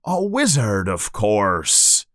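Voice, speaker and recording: A man performs a big-hearted giant gamekeeper from a storybook. He is exclamatory and quick to flare up, stretching his words slowly as his pitch swings widely. The recording is dry and close. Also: wildly swooping pitch, a bit bright, no echo, full character voice. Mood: proud. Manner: emphatic, exclamatory, drawn-out words. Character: booming, warm-hearted, hot-tempered giant man, a gamekeeper.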